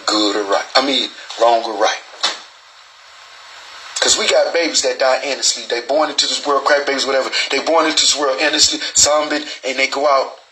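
A man's voice: medium pitch, bright, forceful tone, a little hiss or hum, rough recording. A man, hosting his own show in a rambling, profane, preachy street monologue full of restarts and stutters. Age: 40s. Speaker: show host. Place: small recording booth.

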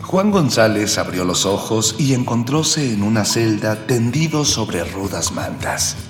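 A man's voice: lowish voice, sing-song, measured pace, roomy sound, in a large hall, faint music behind. A man playing a mock-solemn radio serial narrator with a pompous, old-fashioned storytelling manner.

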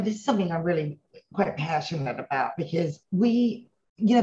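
A woman's voice: deep voice, darkish tone. Fifties businesswoman from Hong Kong, interviewee, thoughtful and earnest; slightly dull recording. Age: 50s. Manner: earnest, reflective, speaks in long run-on thoughts. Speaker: company CEO, interview guest. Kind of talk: conversational interview answers.

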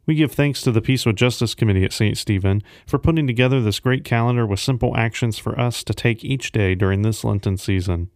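A man's voice: mid-range voice, fairly quick, few pauses, a little mellow, no room sound, clean studio sound. A man serving as host of a devotional podcast, reading a scripted announcement.

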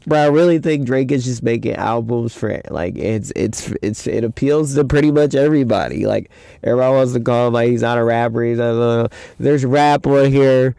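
A man's voice: medium pitch, moderate pace, gravelly, mellow, smooth, no echo, clean studio sound. A young man hosting a casual solo podcast, talking in a loose, rambling, slangy way with a stuffy nose from a head cold. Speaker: podcast host.

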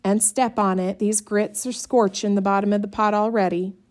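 A woman's voice: mid-range voice, fairly even intonation, moderate pace, darkish tone, natural voice. A woman voicing a tired, blunt rural mother with plain country speech.

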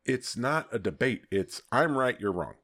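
A man's voice: medium pitch, normal speed. A man hosting a podcast, telling stories in a casual, profane way and laughing often. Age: adult, hoping to see future grandkids. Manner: profane, animated storytelling with frequent laughs. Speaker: podcast host.

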